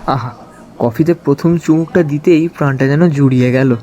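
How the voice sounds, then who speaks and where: Mellow man narrating a detective story. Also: fairly high pitch, normal speed. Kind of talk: dramatised first-person storytelling in a detective thriller.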